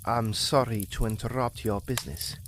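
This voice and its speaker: A man's voice: lowish voice, steady tone, normal speed, noisy background, close to an everyday voice. A man playing a polite, earnest young farmer asking a father for his daughter's hand, in an old-time radio drama. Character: earnest young farmer suitor, Walter Markham.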